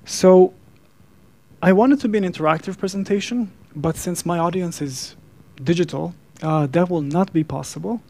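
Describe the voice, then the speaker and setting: A man with a Serbian accent, native in Serbian, speaks in a slightly high voice with a strong creaky edge. He delivers an earnest talk as a speaker and coach. His wording is formal and deliberate, set off by emphatic pauses. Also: darkish tone. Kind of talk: earnest public talk to a digital audience.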